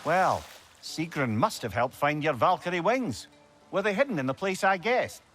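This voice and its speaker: Lively British-accented witty companion. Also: male voice, fairly high pitch, moderate pace, faint music behind. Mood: curious, cautious. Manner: talkative, animated. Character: chatty, witty, easily irked male companion. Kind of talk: video game cutscene acting.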